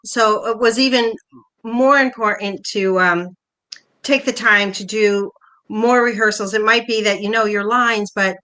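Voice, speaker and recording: A woman's voice: medium pitch, steady tone, measured pace, sharp tone, low-quality recording. A woman who acts in community theatre, giving an informal panel answer over a video call.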